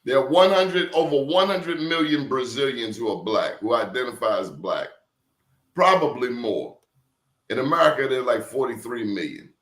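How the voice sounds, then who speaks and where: Animated man telling a story in an echoey room. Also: mid-range voice, moderate pace. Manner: animated, emphatic storytelling with rhetorical questions. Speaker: professor and guest. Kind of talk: casual conversational talk.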